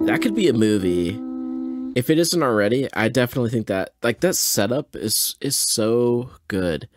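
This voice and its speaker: A man's voice: mid-range voice, animated, normal speed, no room sound, studio quality, soft background music. A mildly tipsy man hosting a podcast, rambling casually and hesitantly as he thinks aloud in a laid-back way. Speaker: podcast host. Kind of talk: casual rambling audio reaction and commentary.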